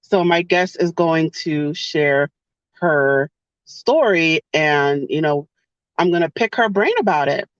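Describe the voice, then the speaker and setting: A woman's voice: low voice, takes it slowly, dry recording, highs cut off. A woman hosting a podcast from her car, chatting casually and repeating her phrases. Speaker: podcast host. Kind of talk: casual podcast intro and interview.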